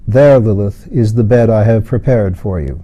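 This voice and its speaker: A man voicing a solemn ancient father in a storybook, speaking gravely and with calm authority. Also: fairly low pitch, normal speed, highs cut off, natural voice. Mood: solemn, assured.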